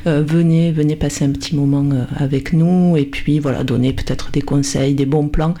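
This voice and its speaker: A woman's voice: deep voice, steady tone, moderate pace, mellow. A woman guest on a community radio show, chatting casually in the studio with many fillers and restarts. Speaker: radio guest, language association volunteer. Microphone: studio mic with headphones.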